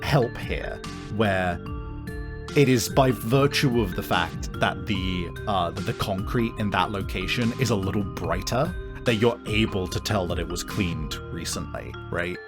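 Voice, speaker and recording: A man's voice: low-pitched, measured pace, over music. A man acting as game master, improvising descriptive narration in a conversational way and addressing the player directly.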